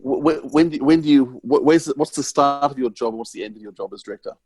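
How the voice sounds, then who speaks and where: A man's voice: medium pitch, quick pace, darkish tone, husky, no echo. A man hosting a casual podcast interview, feeling his way into his questions.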